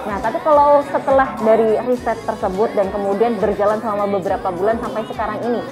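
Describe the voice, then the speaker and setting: A woman's voice: mid-range voice, fast talker. Chatty woman host in a noisy restaurant. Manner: chatty, upbeat, laughs often. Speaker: talk show host. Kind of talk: casual interview. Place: busy restaurant. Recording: loud music and crowd chatter. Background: music and diners chattering.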